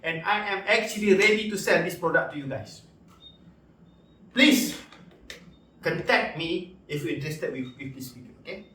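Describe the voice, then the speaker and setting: A Malaysian man with a slightly high voice presents an off-the-cuff vlog review. He talks informally and haltingly, repeating himself and tossing in slang. The room is very echoey and he sounds a little away from the mic, with some music in the recording. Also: animated, a bit bright, recorded from a distance. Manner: informal, repetitive, halting, punctuated with slangy interjections. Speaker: product reviewer and vlogger. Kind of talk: casual unscripted product review.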